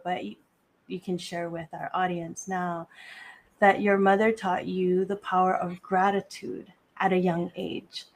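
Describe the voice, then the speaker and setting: Slow, deliberate woman from Hawaii hosting. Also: mid-range voice. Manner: measured, deliberate delivery with a scripted-sounding welcome. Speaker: podcast host. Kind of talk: live podcast interview hosting.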